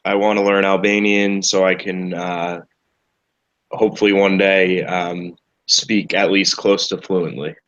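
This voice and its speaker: Man, American accent, low monotone voice, hesitant language student, muffled call audio. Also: measured pace. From New York, United States. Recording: dull lo-fi video call audio.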